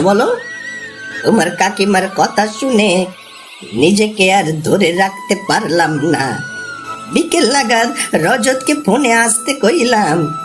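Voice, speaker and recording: A woman voicing a gentle, sorrowful older village uncle in a cartoon story, with drawn-out storytelling delivery. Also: medium pitch.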